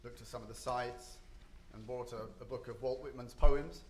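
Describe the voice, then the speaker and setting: A man's voice: mid-range voice, steady tone, moderate pace, slight background noise. Man speaking in an echoey conference room. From not Japan or the US. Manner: hesitant, many ums and restarts. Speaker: conference panelist. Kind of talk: conference panel talk.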